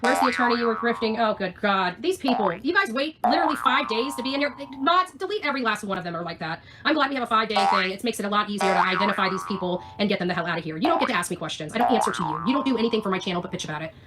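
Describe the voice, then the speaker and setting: A woman's voice: mid-range voice, animated, racing, hardly any pauses, over music. A woman ranting on a livestream in a combative, sarcastic, foul-mouthed way. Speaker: livestream host.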